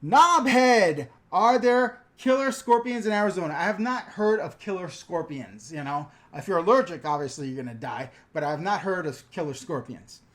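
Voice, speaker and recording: Middle-aged male host from the NY/NJ area, higher-pitched voice, chatty and jokey, runs a live viewer Q&A on a GoPro. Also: expressive, moderate pace, few pauses, a bit bright. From New York/New Jersey area. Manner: chatty, joking, laughs often. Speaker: host answering viewer questions. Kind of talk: casual live-stream Q&A. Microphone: GoPro camera.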